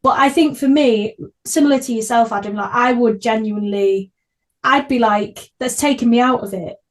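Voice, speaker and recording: Female podcast host, fairly high voice, earnest and effusive, informal interview chat. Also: normal speed.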